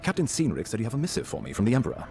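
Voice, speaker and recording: An actor playing a grave, dutiful ambassador of a fantasy empire in a video game, speaking formally. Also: male voice, mid-range voice, huge pitch swings, rapid-fire, warm tone, faint music behind. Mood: formal, eager. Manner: formal, stately wording. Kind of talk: dramatic video game dialogue.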